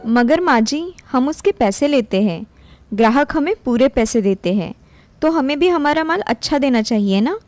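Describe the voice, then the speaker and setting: A woman's voice: high voice, lively intonation, moderate pace, darkish tone, faint music behind. A woman voicing an honest, polite young daughter-in-law in a storybook tale, speaking earnestly. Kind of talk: storybook character dialogue.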